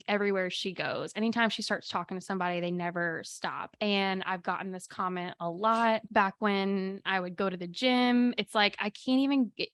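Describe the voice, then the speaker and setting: A woman with a slightly raspy voice hosting a casual solo podcast from her home office, telling chatty stories with quick asides. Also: medium pitch, dry recording, clean studio sound. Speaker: podcast host and spiritual coach.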